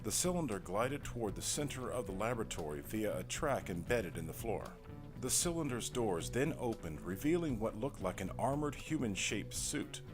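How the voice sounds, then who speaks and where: A man's voice: fairly low pitch, big pitch swings, normal speed. A man delivering dramatic scripted narration in a theatrical, mock-serious way. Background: music.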